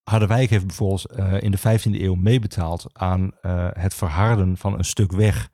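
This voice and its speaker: Male history expert for podcast talk: very low, mellow voice, hesitant phrasing, close dry sound. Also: steady tone, normal speed, dry recording. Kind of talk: explanatory podcast conversation.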